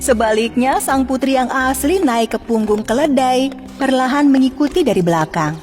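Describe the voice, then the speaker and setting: A woman narrates a children's fairy tale from a script. Her voice is fairly high, and soft music plays behind it. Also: lively intonation, normal speed, big-room sound. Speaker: storyteller.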